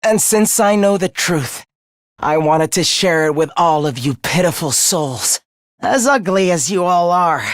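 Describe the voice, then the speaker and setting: A man's voice: high-pitched, dry recording, clean studio sound. An actor voicing a scornful, zealous young male video game villain who despises humans, with a theatrical, contemptuous delivery. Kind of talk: video game character voice acting.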